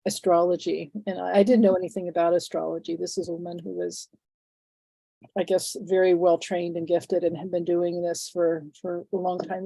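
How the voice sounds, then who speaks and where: Congested woman in her sixties, low and mellow. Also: vocal fry, no echo, poor audio. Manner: thoughtful, halting, many fillers and restarts. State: congested, phlegmy from a head cold. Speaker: interview guest. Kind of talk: reflective conversational interview.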